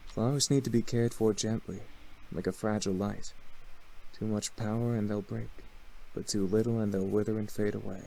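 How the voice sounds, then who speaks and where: Man playing low-voiced, courteous incubus butler. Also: fairly even intonation, normal speed, gravelly, warm tone, low-quality recording. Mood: tender. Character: courteous, gentle young male incubus butler. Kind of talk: visual novel character acting.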